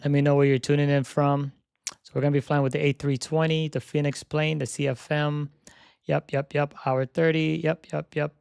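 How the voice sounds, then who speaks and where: A young man live-streaming a flight sim session, chatting casually and thinking aloud as he sets up, his voice slightly hoarse. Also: fairly high pitch, level tone, moderate pace, a little mellow, no echo, studio quality. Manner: repeats "yep" while checking items.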